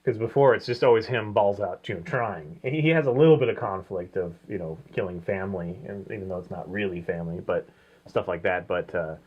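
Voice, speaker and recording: A man's voice: low-pitched, brisk, husky. A man co-hosting a casual review chat, opinionated and rambling as he thinks aloud. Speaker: co-host of a comics review show. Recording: dull, lo-fi sound.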